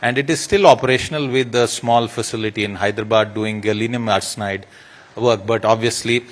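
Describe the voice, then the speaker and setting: A man's voice: on the low side, steady tone, a little fast. A man moderating a live panel discussion, speaking with frequent hesitations and light jokes. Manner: hesitant, many fillers, jokey asides.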